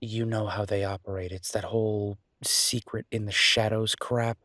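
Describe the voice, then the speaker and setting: A man playing an easygoing, teasing chef boyfriend in an intimate audio roleplay, chatting with affectionate, playful exasperation in a husky voice. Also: on the low side, little pitch movement, normal speed, pushed and bright, dry recording, studio quality. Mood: enthusiastic, opinionated. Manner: conversational, playful, exasperated banter.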